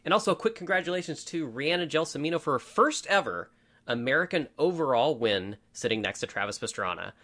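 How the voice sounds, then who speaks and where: A man's voice: a little high, animated, brisk, sharp tone. A man hosting a rally podcast, chatting in an upbeat, easygoing way and laughing easily. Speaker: podcast host. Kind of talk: casual podcast chat.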